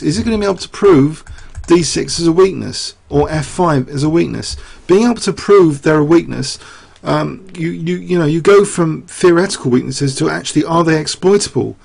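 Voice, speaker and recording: Chess commentator, male, fairly high voice with a rough, raspy edge, thinking aloud, losing his voice. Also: a little mellow. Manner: rambling, thinking aloud with many fillers and rhetorical questions. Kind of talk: chess game analysis.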